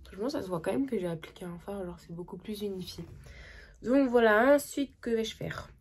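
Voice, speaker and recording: A young woman with a cold, chatting excitedly and casually through a makeup tutorial, with lots of slang and trailing sentences. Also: mid-range voice, a little fast, husky. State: has a cold. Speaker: makeup vlogger.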